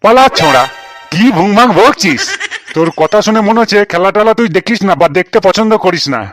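Man voicing a high-pitched blustery cartoon uncle. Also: expressive, fairly quick, over music. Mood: scoffing, exasperated. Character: grumbling, easily exasperated village uncle, comically dim. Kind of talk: comic cartoon dialogue.

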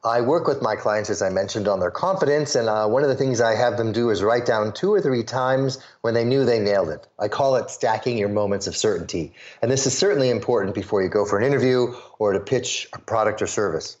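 A man's voice: lowish voice, fairly quick, few pauses, highs cut off. A man from the US Midwest telling stories as an interview guest, acting out the voices of the people he quotes. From suburbs of Chicago, US Midwest. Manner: animated storyteller, acts out quoted voices. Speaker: guest, sales pitch coach.

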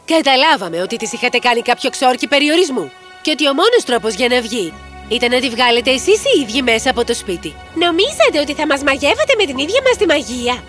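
A woman's voice: high voice, very animated, a bit bright. A woman voicing a proud, righteous fairy who speaks pointedly in a cartoon fairy tale. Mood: stern. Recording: music under the voice.

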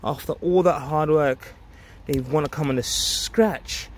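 A man's voice: fairly high pitch, slow. A man in his thirties giving a casual, enthusiastic update to viewers, speaking emphatically into a handheld phone outdoors. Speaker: vlog host, allotment gardener. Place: outdoors on an allotment garden. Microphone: handheld phone at close range. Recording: outdoor ambient and traffic noise. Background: outdoor ambience, vehicle noise.

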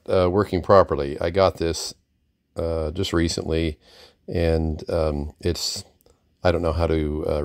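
A very low-voiced man with a creaky, gravelly edge talks casually through a piece of equipment. He rambles a little and hesitates, sounding unscripted and modest about what he knows. The sound is close and dry. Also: darkish tone, dry recording. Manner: hesitant, rambling, chuckling self-deprecation. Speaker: vintage radio collector presenting a receiver. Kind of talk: casual unscripted product demonstration. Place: indoor room, at a desk with radio gear.

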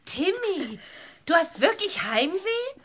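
A woman playing a spirited, kind young witch girl in a children's audio play, perky and expressive. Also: very high-pitched, takes it slowly, full character voice. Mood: cheerful, kind.

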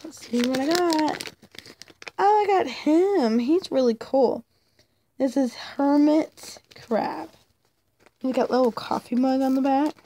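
A woman's voice: high-pitched, takes it slowly, a little hiss or hum. A woman with a cold, chatting upbeat as she opens toy figure packs and names each one. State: has a cold, losing her voice. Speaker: toy unboxing vlogger. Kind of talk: casual unboxing chat. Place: indoors, toys on a pink blanket. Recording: loud plastic crinkling close by.

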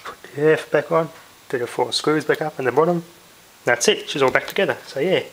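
A man's voice: a little high, fairly quick, fairly bright tone. A man giving casual, off-the-cuff commentary while doing hands-on repair work, muttering to himself as he goes. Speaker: DIY vlogger. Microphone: handheld camera's built-in mic. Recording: noisy handheld camera audio with clicks.

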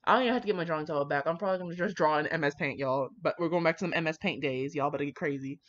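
A woman's voice: sits low, rapid-fire. A woman rambling casually with dramatic asides, brash, slangy and full of swearing as she comments on fan fiction. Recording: dull lo-fi microphone.

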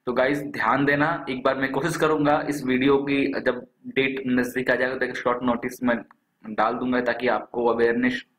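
A man's voice: mid-range voice, level tone, normal speed, a bit bright. An Indian-accented man with a cold hosts a job alert video, explaining casually and chattily, addressing viewers as friends. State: has a cold, coughing and throat clearing. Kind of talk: casual explainer over screen recording. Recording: echoey room.

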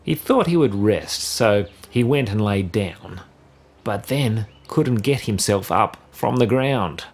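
A man reads a rhyming children's story in a low, slightly husky voice. His delivery is measured and expressive, with plenty of rise and fall, as a storybook narrator. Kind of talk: scripted rhyming children's story read-aloud.

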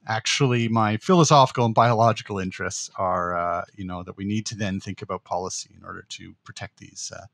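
A middle-aged man, born in the Netherlands, co-hosting a podcast as a professor, speaking thoughtfully with many pauses and restarts. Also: on the low side, vocal fry, fairly bright tone, no echo. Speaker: podcast co-host, political science professor. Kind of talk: casual conversational podcast.

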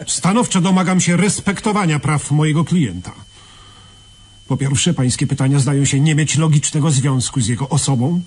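A man's voice: fairly high pitch, moderate pace, darkish tone, breathy edge. A man acting in a radio play as a pompous defence lawyer, formally and indignantly shielding his client.

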